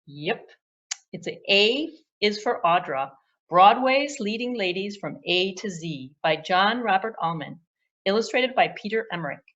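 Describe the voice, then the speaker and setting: A woman's voice: mid-range voice, lively intonation, a little slow, a bit bright, highs cut off. A woman storyteller and puppeteer reviewing a children's book in conversation with a puppet.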